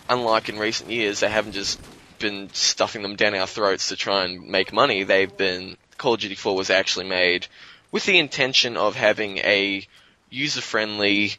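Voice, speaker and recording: Young Australian man, low voice with a forceful bright edge, slightly hoarse, loose rambling gamer talk. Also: low-quality recording. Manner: rambling, loose, swears freely. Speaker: guest commentator. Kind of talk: casual gaming commentary.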